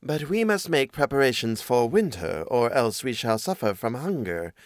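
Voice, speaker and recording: A man's voice: mid-range voice, big pitch swings, normal speed, dry recording, studio quality, lightly acted. A man voicing a greedy, sly male cat in a fairy tale, with a persuasive, cool and self-assured manner. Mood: cautioning, persuasive.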